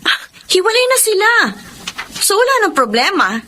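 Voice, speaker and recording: An actress playing a headstrong, defiant young daughter in a Filipino radio drama, speaking with a Filipino accent. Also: female voice, very high-pitched, big pitch swings, moderate pace, noisy background.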